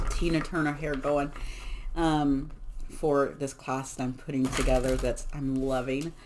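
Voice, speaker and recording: A hoarse card reader speaks in a chatty, emphatic way at a middling pitch, with a soft noise running underneath. The talk is loose and full of fillers. It stays encouraging as the reader urges listeners to let things go. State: hoarse, losing voice. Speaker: tarot and oracle card reader. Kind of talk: casual collective card reading.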